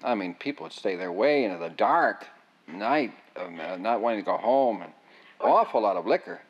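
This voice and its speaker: A man's voice: lowish voice, sing-song, normal speed, husky. An older man reminiscing in an oral history interview, rambling, with frequent restarts. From United States. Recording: dull lo-fi sound.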